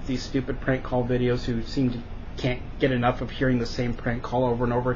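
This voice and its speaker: A dull, slightly noisy recording of a man with a mid-pitched voice. He talks as a vlogger, telling his story casually, with a mocking, exasperated edge. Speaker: vlogger recounting a prank call. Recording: dull lo-fi audio with noticeable noise.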